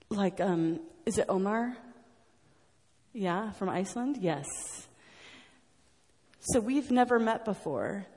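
A woman's voice: mid-range voice, darkish tone. Earnest woman rambling in a reverberant hall. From United States. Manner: rambling, earnest, many fillers and restarts, laughs often. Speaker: speaker sharing her story at a recovery meeting. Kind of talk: personal testimony to an audience.

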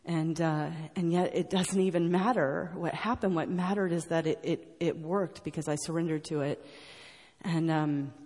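A woman's voice: low-pitched, fairly even intonation, normal speed, a little mellow, husky, in a large hall. An American woman telling her personal story to an audience, earnest and rambling, with many fillers and restarts. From United States. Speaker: speaker sharing her story at a recovery meeting.

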